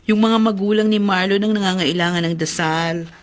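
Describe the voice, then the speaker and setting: A woman's voice: mid-range voice, steady tone, moderate pace, natural voice. A woman playing a gossipy, caring housewife in a radio drama, speaking with a Filipino accent. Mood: gossipy, disapproving. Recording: faint noise behind the voice.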